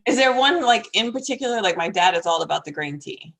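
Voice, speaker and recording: A woman's voice: mid-range voice, lively intonation, fast talker, fairly bright tone, low-quality recording. A woman hosting a podcast, enthusiastic, laughing mid-sentence and saying "like" often. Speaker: podcast host. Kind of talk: casual interview chat.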